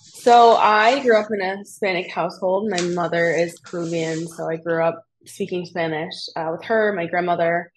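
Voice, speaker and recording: A woman's voice: on the low side, fairly even intonation, moderate pace, low-quality recording. A young American woman chatting casually as a podcast guest and explaining things from her own life in a conversational way. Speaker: podcast guest, fitness coach.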